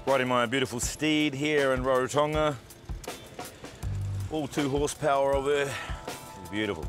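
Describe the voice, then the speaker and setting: A man's voice: medium pitch, normal speed. A male host presenting a travel show outdoors, upbeat and full of playful asides. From New Zealand. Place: outdoors, riding around a village. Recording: loud music bed under voice.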